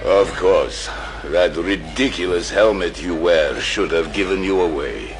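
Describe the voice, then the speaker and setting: A man acting a hulking, menacing, sardonic superhuman berserker warrior in an audio drama, gravelly and taunting. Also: low-pitched, measured pace, music in the background. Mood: taunting menace.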